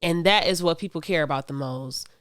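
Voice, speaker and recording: A woman's voice: deep voice, a little fast, a bit bright, no echo, clean studio sound. Laughing, slangy podcast woman. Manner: laughs often, curses freely, slangy. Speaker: podcast co-host. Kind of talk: casual chat.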